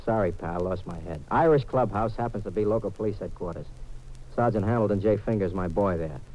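A man's voice: lowish voice, a little fast, darkish tone, close to an everyday voice. An American actor playing a streetwise, wisecracking young tough guy in a 1950s radio detective drama, with slangy, wry delivery. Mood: wry, cool. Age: young adult. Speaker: lead actor and narrator. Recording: muffled, noisy old radio recording.

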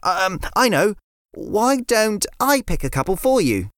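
A woman voicing a young girl who thinks fast and talks excitedly. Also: mid-range voice, dry recording, studio quality, pitched above the performer's own voice. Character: quick-thinking little girl.

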